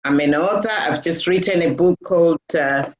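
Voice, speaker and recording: A woman's voice: low-pitched, moderate pace. A middle-aged woman from Zimbabwe being interviewed, talking with many fillers and restarts. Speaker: guest. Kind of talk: casual interview talk. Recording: dull lo-fi audio.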